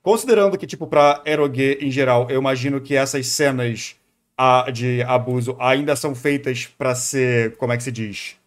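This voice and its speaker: A man's voice: mid-range voice, fairly even intonation, moderate pace. A Brazilian man giving casual, opinionated live reaction commentary as a streamer, thinking aloud conversationally.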